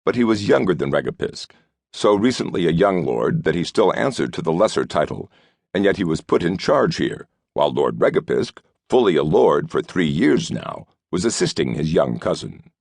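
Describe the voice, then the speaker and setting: A man narrating an audiobook novel in a scripted storytelling style, with a gravelly voice. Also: low-pitched, big pitch swings, moderate pace, darkish tone, no echo, studio quality.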